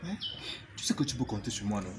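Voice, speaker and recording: A man's voice: fairly low pitch, expressive, fast, background noise, lightly acted. A young man acting in a French screen drama as a devoted, anxious boyfriend who pleads and repeats his questions. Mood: tender, worried. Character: devoted young boyfriend, anxious, tender but quick to outrage.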